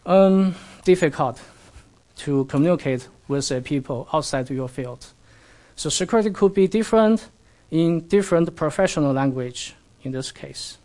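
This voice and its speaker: Chinese-native man lecturing, slightly high voice. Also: expressive, darkish tone. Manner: halting non-native English with frequent fillers. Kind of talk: academic lecture with audience questions.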